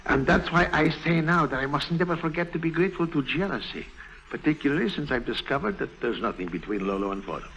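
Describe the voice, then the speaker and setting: An actor playing a pompous, jealous, gullible middle-aged bank official in a theatrical 1950s radio drama style. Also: male voice, fairly high pitch, quick pace, poor audio. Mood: jealous, earnest. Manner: theatrical, fussy, self-important, excitable. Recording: old 1950s radio broadcast recording.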